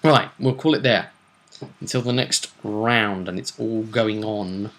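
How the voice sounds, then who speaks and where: A man hosting a tabletop role-play vidcast, casually discussing a fantasy tournament in a musing, deliberate way. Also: fairly low pitch, a bit bright.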